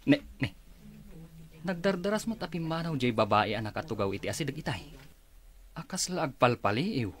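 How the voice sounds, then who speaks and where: A man playing a teasing, curious older brother in a radio drama, with a husky voice and an Ilocano accent. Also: mid-range voice, very animated, fairly quick, pauses often, lightly acted.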